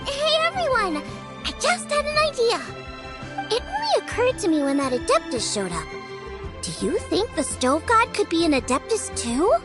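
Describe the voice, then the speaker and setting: An eager, friendly teenage apprentice girl in a video game. She sounds chirpy and high, with an animated melody and music playing behind her. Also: normal speed, exaggerated voice.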